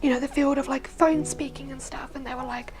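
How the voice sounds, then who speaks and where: A young woman with a naturally husky, hoarse voice that sits high for a woman. She talks in a rambling, personal way, full of "like" and "you know", and often sinks into a breathy near-whisper. Clear music plays under her voice throughout. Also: fairly even intonation, a little fast. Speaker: vlogger sharing her life story. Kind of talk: casual personal storytelling.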